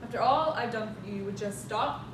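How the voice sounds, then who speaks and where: A woman's voice: a little fast, lightly acted. A young woman acting a driven, authoritative scientist and mentor in a staged drama, firm, insistent and reproachful. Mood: stern, disappointed. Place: stage or hall at a staged reading. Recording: very echoey room, distant mic, noisy rumble.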